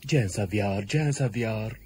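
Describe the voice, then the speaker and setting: A man's voice: lowish voice, quick pace, a little mellow, husky, dry recording. A man voicing a scheming cartoon son who acts pompous, bossy and spoiled, in a dubbed cartoon. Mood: smooth pretending. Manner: pompous, demanding, exclaiming. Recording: music behind the voice.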